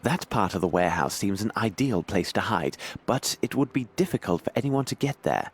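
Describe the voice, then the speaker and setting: An actor playing a self-assured, inquisitive British gentleman detective in a video game, with formal, precise speech. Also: fairly low pitch, lively intonation, a little fast, slight background noise, lightly acted. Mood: coolly probing.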